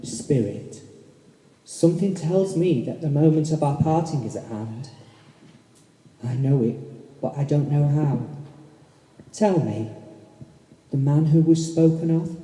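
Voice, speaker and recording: A man playing a frightened, pleading old miser in a radio play, with slow, dramatic and formal delivery. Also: mid-range voice, warm tone, close to an everyday voice. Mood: dread. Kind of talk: amateur stage radio play acting. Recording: echoey hall with long reverb.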